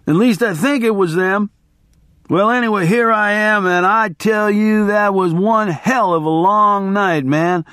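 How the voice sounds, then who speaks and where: A man voicing a rowdy, battered but elated biker, telling his tale loudly and profanely in a rambling way. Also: sits high, a little slow, no room sound, studio quality, voice pushed up. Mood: boisterous, elated. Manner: loud, rambling, profane storytelling.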